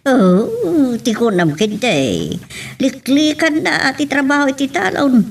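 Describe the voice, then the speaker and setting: A woman's voice: sits high, sing-song, takes it slowly, creaky, exaggerated voice. A woman acting a disapproving, nagging mother of a grown son in an audio drama, with an Ilocano Filipino accent. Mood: grumbling. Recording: distant mic with faint noise.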